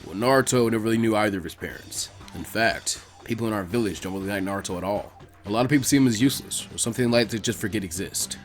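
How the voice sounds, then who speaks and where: A man voicing a gruff, weary, laid-back ninja mentor in a dramatic story reading, with dry, low-key muttering. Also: fast, faint music behind, close to an everyday voice. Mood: calm, frank. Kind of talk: dramatic fan fiction character reading.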